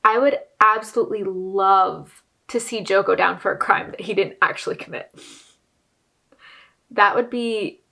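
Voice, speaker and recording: A woman's voice: medium pitch, steady tone, measured pace, vocal fry, sharp tone. Opinionated woman reacting to a show. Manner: opinionated, conversational asides to the show. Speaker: reaction video host. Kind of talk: casual TV reaction commentary.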